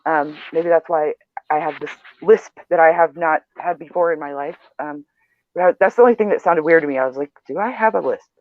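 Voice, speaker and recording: A man's voice: on the high side, brisk, no room sound. Nasal man, rambling and self-deprecating, tinny phone-like sound, dry. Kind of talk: casual video chat. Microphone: video call.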